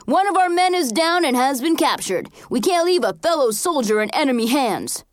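A woman's voice: high voice, lively intonation, normal speed, dry recording, pitched above the performer's own voice. Lady doing a cocky teen boy, mischievous big brother, mock dramatic, dry close sound, storybook. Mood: mock-heroic. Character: mischievous older brother, cocky boy playing army commander.

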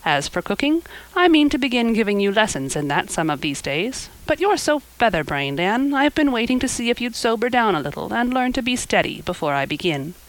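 A woman voicing a stern, practical older woman in a storybook, speaking in a brisk, scolding, matter-of-fact manner. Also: a little high, very animated, low-quality recording. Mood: brusque. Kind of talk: audiobook character acting.

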